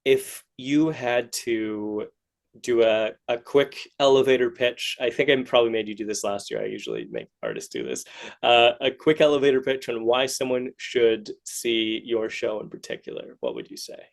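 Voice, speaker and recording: A man hosts a relaxed podcast interview in a mid-pitched voice with plenty of creak. He feels his way through questions and remarks, rambling a little and sounding encouraging. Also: normal speed. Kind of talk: casual interview chat.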